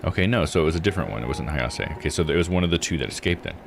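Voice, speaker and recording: A man's voice: sits low, expressive, very fast, creaky. A man giving live video game commentary, musing and thinking aloud as he plays. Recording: music under the voice. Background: game music.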